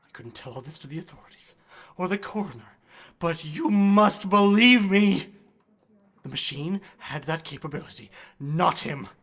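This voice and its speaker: A man's voice: fairly high pitch, expressive, normal speed, fairly bright tone. An actor playing a distraught, haunted male asylum patient, pleading and agitated, in a radio horror drama.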